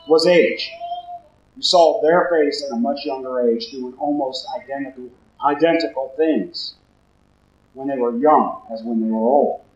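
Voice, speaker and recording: Deep-voiced deacon, low-key conversational sermon, roomy and slightly muffled sound. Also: male voice, darkish tone. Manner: low-talking, conversational preaching with questions to the congregation. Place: church. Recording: roomy, slightly dull church recording.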